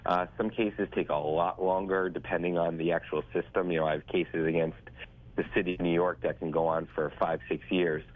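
A man's voice: low voice, fairly even intonation, a little fast. A congested, stuffed-up man speaking as an attorney on a radio call-in, chatty and salesy, often repeating himself. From northeast United States. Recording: phone line.

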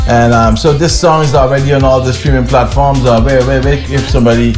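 A man's voice: medium pitch, fairly even intonation, over music. A man hosting a casual interview, often stumbling and repeating words as he asks questions. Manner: repeats words, halting restarts. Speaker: radio or online show host.

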